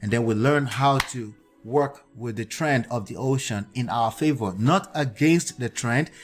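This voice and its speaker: A man's voice: mid-range voice, a little slow, faint music behind. Nigerian-accented man lecturing on a livestream. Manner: lecturing, checks in with "okay?" and "right?". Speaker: livestream host. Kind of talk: livestream commentary.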